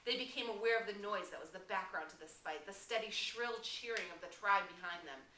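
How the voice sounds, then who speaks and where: A woman reading a novel aloud as dramatic, expressive audiobook narration. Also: mid-range voice, a little fast. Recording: roomy, distant mic, faint noise.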